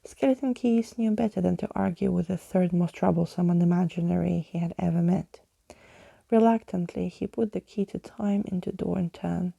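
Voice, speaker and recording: A woman gently reading a children's storybook aloud in a soft ASMR style, close to the mic. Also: fairly low pitch, vocal fry, breathy edge, no echo.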